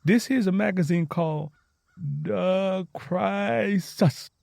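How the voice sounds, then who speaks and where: A man voicing an eager, excitable young woman, earnest and folksy, in a narrated story. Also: sits high, slow, gravelly, dry recording, studio quality, voice pushed up, heavily acted. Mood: eager, encouraging.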